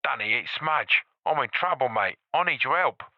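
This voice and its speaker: Male reader as a nervous, fast-talking guy on a muffled phone line. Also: mid-range voice, expressive, dry recording, pitched above the performer's own voice, natural voice. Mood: panicked. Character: panicked young man on the phone.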